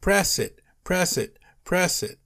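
A man voicing a hyper, bratty child in a story, yelling in repetitive chants. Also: high-pitched, lively intonation, moderate pace, pitched above the performer's own voice. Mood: manic chanting.

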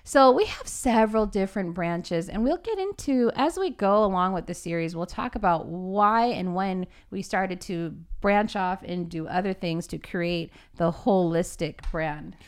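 A woman's voice: lowish voice, unhurried, hardly any pauses, smooth. A woman in her forties from Los Angeles, co-hosting a casual conversation in a chatty, teasing way. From Los Angeles, California. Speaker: co-host.

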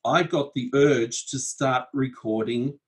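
A man with a slightly husky voice hosts a relaxed podcast from his home desk. He speaks in a reflective, measured way, pausing with the odd um as he thinks. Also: mid-range voice. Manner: reflective, measured, frequent ums. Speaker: podcast host. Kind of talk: casual podcast conversation. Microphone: desk setup at home.

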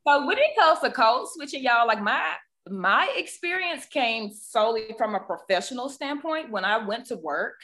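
Bright-voiced woman with a deep Southern accent. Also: normal speed, hardly any pauses. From Southern United States. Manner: animated storytelling, laughs easily. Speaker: podcast co-host, ICU nurse. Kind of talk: casual podcast chat.

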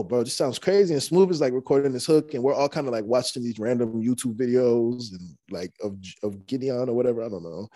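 A man's voice: medium pitch, no echo, studio quality. A young man, a rapper, telling stories casually with lots of fillers and restarts. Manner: relaxed, rambling, many fillers and restarts. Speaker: rapper guest. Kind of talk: casual storytelling interview.

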